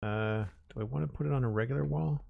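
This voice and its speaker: A man streaming a building game, talking casually in a raspy, slightly hoarse voice as he thinks aloud in an unhurried, rambling way. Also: mid-range voice, no room sound, narrow, tinny audio. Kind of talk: casual live-stream chat while building.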